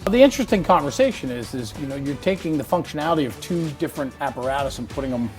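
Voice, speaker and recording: A man's voice: on the high side, expressive, quick pace, darkish tone, soft background music. A man hosting a walk-around interview, conversational, prompting his guest and summarizing technical details. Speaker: interviewer and host.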